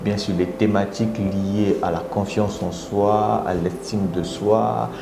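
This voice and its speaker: A Congolese man with a Congolese French accent hosting a live motivational talk, coaxing his viewers and often jumping into high exclamations. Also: slow, vocal fry. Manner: animated, coaxing audience, rising into high exclamations. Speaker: motivational speaker and live host. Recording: echoey room with audible background noise.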